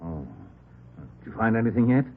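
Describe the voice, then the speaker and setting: A man acting a surly, evasive middle-aged husband with an American accent, gruff and curt, in a 1950s radio drama. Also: lowish voice, expressive, a little fast, phone-quality sound. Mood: guarded. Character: surly, evasive nursery owner, defensive husband and stepfather. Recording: band-limited old radio recording, background noise.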